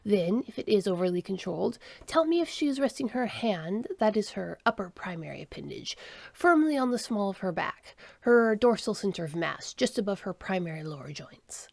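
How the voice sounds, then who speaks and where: A woman voicing a brisk female insectoid alien who speaks precisely and with command. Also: mid-range voice, very animated, creaky, no room sound. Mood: exacting, determined. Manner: precise, commanding, over-explicit.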